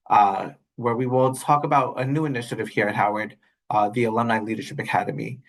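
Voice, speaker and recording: Polite man hosting an online call. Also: mid-range voice. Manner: polite, with many "uh" fillers. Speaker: alumni relations director and host. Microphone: video call.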